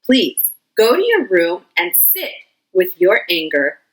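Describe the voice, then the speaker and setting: A woman's voice: lowish voice, very animated, takes it slowly, a bit bright, exaggerated voice, rough recording. A woman voicing a patient, loving grandfather in a children's storybook. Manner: patient, firm.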